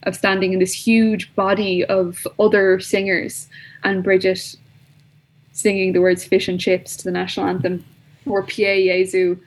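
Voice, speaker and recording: A young woman chats loosely on a podcast, swearing freely as she rambles through stories. Her voice sits at a medium pitch for a woman. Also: flat delivery, measured pace, low-quality recording. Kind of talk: casual chat.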